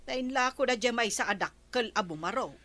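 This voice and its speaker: A woman's voice: on the high side, animated, fairly quick, pushed and bright, no room sound. A woman with an Ilocano accent playing a curious, gossipy villager in a radio comedy drama.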